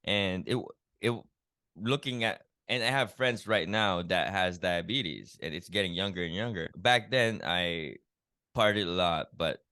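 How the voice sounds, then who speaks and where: A man with a low, resonant voice tells his own story as a podcast guest. His tone is earnest and reflective, and he often uses filler phrases as he works through it. The sound is close and dry, with no room echo. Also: moderate pace, sharp tone. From Philippines. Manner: earnest, reflective, many "you know" fillers. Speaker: guest, keto health coach. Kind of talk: personal story in a podcast interview.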